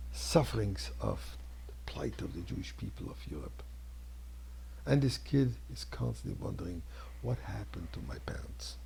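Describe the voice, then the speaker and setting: A man, a rabbi, telling a story in a dramatic sermon, with a husky, gravelly voice and emphatic delivery that moves between hushed and declamatory. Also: fairly low pitch, slight background noise, poor audio. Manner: theatrical, emphatic delivery, swinging between hushed near-whispers and loud declamation.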